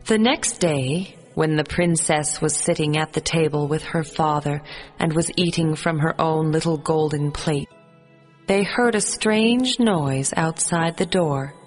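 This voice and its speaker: A woman narrating a children's fairy tale as a storyteller, in a measured storybook reading. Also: deep voice, husky, long reverb tail, faint music behind.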